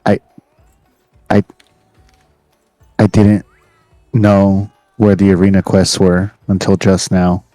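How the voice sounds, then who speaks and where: A man's voice: sits low, flat delivery, slow pace, long pauses, dark tone, no room sound. A young man in casual chat on a game stream call, asking blunt questions and swearing. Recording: dry close voice, music behind. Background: music.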